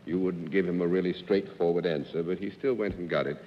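A middle-aged man moderating a live game show with teasing jokes, on a studio stage. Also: deep voice. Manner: playful, teasing, quick with jokes. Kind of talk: genial quiz-show moderating. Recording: dull lo-fi 1950s broadcast audio, long hall reverb, faint audience.

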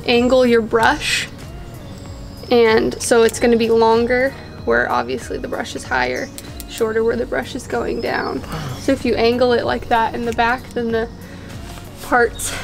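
A young woman talks through a home haircut in a chatty, easygoing way and pokes fun at her own skills. Her voice sits a little high with a gravelly fry. She is recorded outdoors on a deck, with music playing underneath. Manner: chatty, explains as she works, laughs at herself. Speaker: vlogger cutting her husband's hair. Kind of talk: casual how-to chat.

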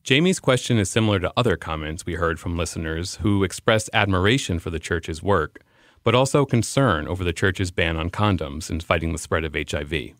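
Low-voiced American man narrating a podcast. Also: brisk, fairly bright tone, dry recording, clean studio sound. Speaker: podcast host. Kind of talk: scripted narration.

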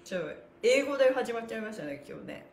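A middle-aged woman with a high, bright-spirited voice leads a stretching class. She is cheerful and encouraging, with a sing-song delivery. The room adds a clear echo around her words. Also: sing-song, fast, a little hiss or hum. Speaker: stretching instructor and livestream host. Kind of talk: exercise class livestream, chatty instruction with counting chants. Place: small bare-walled indoor studio room. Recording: roomy echo, mic a little away.

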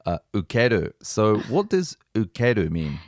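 A man from England hosts a relaxed language-lesson podcast, chatty and playful as he reads listener reviews. His voice sits fairly low. It is recorded close and dry, with no room sound. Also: normal speed, no echo. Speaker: podcast host.

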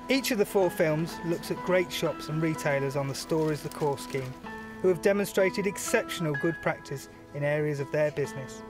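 Higher-voiced man narrating over music. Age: 30s. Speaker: programme manager and presenter. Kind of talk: scripted narration. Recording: music behind the voice.

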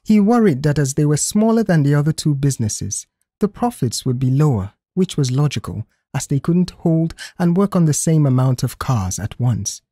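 A man reading scripted story narration in an expressive, careful storytelling manner. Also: a little high, normal speed, no echo, clean studio sound. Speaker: audiobook narrator.

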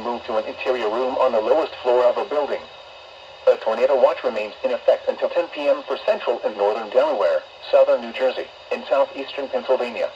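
A synthetic male announcer voice reading an automated weather warning in a formal, measured way, played through a weather radio speaker. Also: medium pitch, highs cut off, slight background noise. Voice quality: synthetic text-to-speech voice. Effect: weather radio broadcast.

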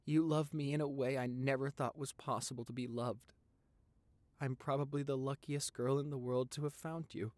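A man playing a loving, sentimental vampire boyfriend in a romantic audio roleplay, speaking tenderly and haltingly with open emotion. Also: medium pitch, fairly even intonation, darkish tone, no room sound, natural voice. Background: background music.

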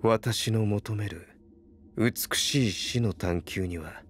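A very low male voice, unhurried, as a serene anime warlord who muses on beautiful death like a detached scholar, over faint ambience. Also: a little hiss or hum. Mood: calm, musing. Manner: measured, philosophical, detached. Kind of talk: Japanese anime voice drama acting.

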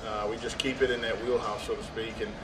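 A man's voice: on the low side, level tone, fast talker, background noise. A man in his thirties, a competing bodybuilder, talking casually and matter-of-factly about his training in a large indoor gym. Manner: plain, matter-of-fact, trailing fillers. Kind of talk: casual gym talk to camera. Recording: echoey gym audio from a distance.

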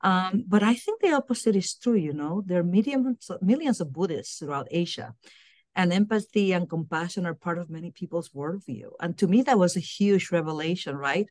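A woman's voice: on the low side, expressive, normal speed, a little mellow, dry recording. A middle-aged woman from Puerto Rico answering interview questions over a video call from a small room at home, earnest and often repeating words as she searches for her phrasing. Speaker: guest, university diversity director. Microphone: laptop webcam on video call.

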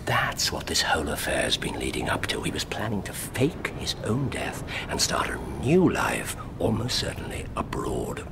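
An actor playing a shrewd, sardonic English detective in a radio drama, with precise, theatrical phrasing. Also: male voice, wildly swooping pitch, moderate pace, few pauses, whispered, full character voice. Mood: explaining intently. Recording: clear low rumbling background noise.

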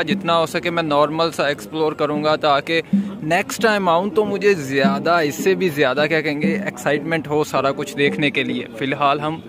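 A man, talking casually to camera as a vlog host and explaining things with English words mixed in. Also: fairly high pitch. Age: 20s. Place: open public area at night. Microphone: phone at arm's length. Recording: noisy phone recording.

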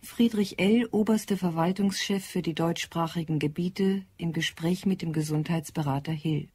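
A woman's voice: fairly low pitch, steady tone, normal speed, a little mellow, slightly rough. A woman narrating an audio drama from a script in a sober, formal manner.

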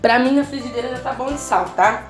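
A woman with a Brazilian Portuguese accent walks viewers through a simple recipe in her own kitchen, keeping up a steady, chatty patter. Her voice sits on the higher side, and soft music plays faintly underneath. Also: fairly quick. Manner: chatty, constantly checking in with the viewer. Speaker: cooking channel host. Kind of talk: casual home cooking tutorial. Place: home kitchen, at the stove.

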